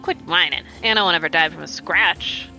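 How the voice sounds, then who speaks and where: A woman putting on a gruff, vulgar old grandmother. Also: a little fast, bright, forceful tone, soft background music, full character voice, low-quality recording. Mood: threatening. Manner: rough folksy speech, slightly lowered.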